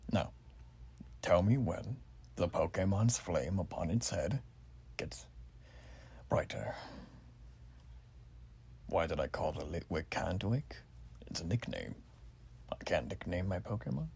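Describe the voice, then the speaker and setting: A man playing a zealous, courteous revolutionary war soldier in an ASMR roleplay exam, speaking softly and breathily with formal, old-fashioned phrasing. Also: low-pitched, a little hiss or hum, exaggerated voice. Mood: attentive, courteous. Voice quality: soft, breathy, often near whisper. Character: zealous, folksy revolutionary war soldier examining a new recruit.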